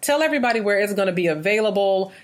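A woman's voice: mid-range voice, lively intonation, rapid-fire. An American woman hosting a casual interview in an enthusiastic, encouraging and slangy way. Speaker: interview host.

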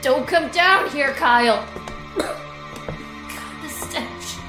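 An actress playing a young boy's exasperated, anxious mother in a horror audio drama, expressive and exclamatory. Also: high voice, slow, over music, rough recording. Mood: alarmed.

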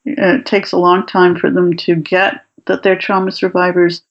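A woman from Morocco who teaches writing workshops, speaking as a guest in an interview in a thoughtful, measured way. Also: on the low side, level tone, dull audio. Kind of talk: interview, reading her own prose aloud.

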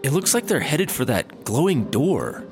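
Wildly animated, goofy space cop. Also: male voice, medium pitch, normal speed, music in the background. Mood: curious. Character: excitable, goofy male space cop sidekick. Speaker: voice actor. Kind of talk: comedy sci-fi audio drama acting.

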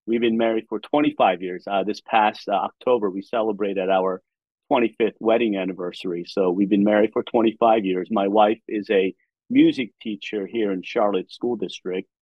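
A man in his forties with an American accent, born in South Korea and raised in the United States, answering as a guest pastor in a steady, friendly way. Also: fairly low pitch, normal speed, dry recording, highs cut off. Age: 40s. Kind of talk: interview answers.

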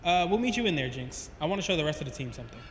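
A man's voice: fairly high pitch, big pitch swings, very fast, a little hiss or hum. An actor playing a confident, casual male scientist who pilots an experimental ship in an audio drama. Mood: casual, eager.

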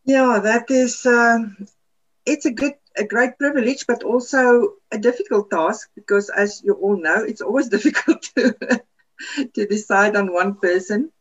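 A woman's voice: fairly high pitch, measured pace. Woman with a lo-fi sound. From South Africa. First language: Afrikaans. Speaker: podcast panelist. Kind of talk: casual chat.

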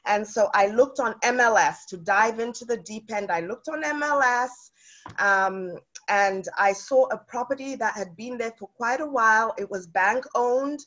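A woman's voice: on the high side, moderate pace, a bit bright, dull audio. A woman from South Africa, a guest chatting in an interview with lots of fillers and restarts. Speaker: guest real estate investor. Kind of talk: casual interview.

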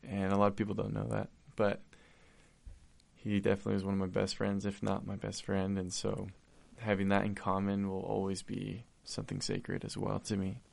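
Young man guest, earnest and heartfelt, low flat gravelly voice. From Argentina. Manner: earnest, heartfelt, halting with restarts. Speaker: podcast guest. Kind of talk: casual conversation.

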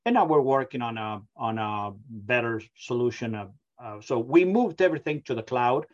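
A middle-aged man from Argentina with an Argentine Spanish accent, a software company founder who talks in a hesitant way with many fillers in a remote interview. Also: mid-range voice, expressive, moderate pace, highs cut off. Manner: hesitant, many ums and uhs.

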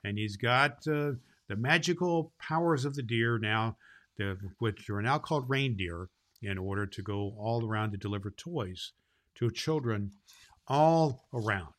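A man hosting and reading a Christmas storybook aloud to children in an expressive way. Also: fairly low pitch, a little slow. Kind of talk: storybook read aloud with informal host talk.

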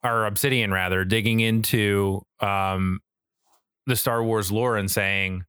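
A man's voice: fairly low pitch, fairly even intonation, slow pace, a bit bright, clean tone, dry recording, clean studio sound. A man co-hosting a podcast, chatting casually and emphatically in slangy, mildly profane language. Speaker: podcast co-host. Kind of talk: casual chat about video games and media.

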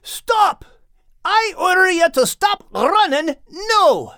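A man voicing a feisty, bossy little dog with a Scottish accent, protective and quick to scold, in an audiobook animal tale. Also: extremely high pitch, big pitch swings, bright, forceful tone, no room sound, studio quality, pitched above the performer's own voice, full character voice. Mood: urgent, commanding.

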